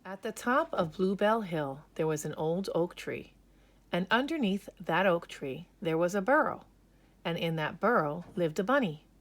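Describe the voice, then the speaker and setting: A woman narrating a children's story aloud with expressive, dramatic emphasis. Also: lowish voice. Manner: slow, animated, dramatic emphasis.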